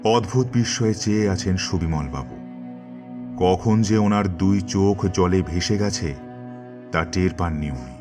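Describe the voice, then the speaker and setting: A man with a very deep voice tells the story in a measured, dramatic way. Clear music plays behind the narration.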